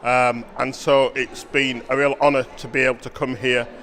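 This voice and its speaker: A man's voice: medium pitch, flat delivery, normal speed, fairly bright tone, slight background noise. A man with a British accent, a visiting professor, giving an appreciative interview after an awards evening. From Britain. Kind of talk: event interview.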